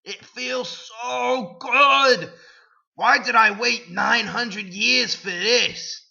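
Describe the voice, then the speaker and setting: A man's voice: very high-pitched, very slow, gravelly, sharp tone. A man giving an over-the-top dramatic reading, with an overwrought delivery broken by dry asides. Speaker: dramatic reader. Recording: dull lo-fi recording.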